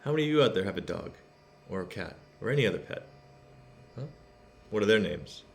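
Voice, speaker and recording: Animated man reading a children's story. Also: fairly low pitch, fairly quick, creaky, a little hiss or hum. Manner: expressive, asks listeners questions. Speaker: storybook reader. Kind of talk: read-aloud to children with chatty asides.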